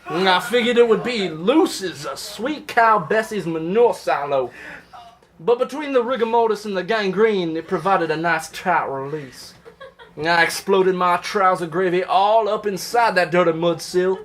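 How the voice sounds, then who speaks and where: Man playing a high-voiced, folksy Confederate soldier. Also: normal speed, fairly bright tone. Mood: lewd relish. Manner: folksy, old-timey, florid. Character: lewd, boastful Confederate soldier husband writing home. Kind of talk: comedic live reading of love letters.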